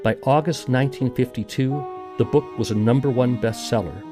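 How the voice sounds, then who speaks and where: A man's voice: medium pitch, fairly quick. Male documentary narrator over music. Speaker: podcast host and narrator.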